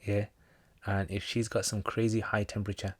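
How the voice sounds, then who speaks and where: A man with a fairly low voice hosts a livestream, answering viewer comments in a relaxed, joking, meandering way. The sound is dry and close, with no room around it. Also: normal speed, breathy edge, no echo. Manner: jokey, rambling, with slangy asides. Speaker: Islamic teacher hosting a livestream Q&A.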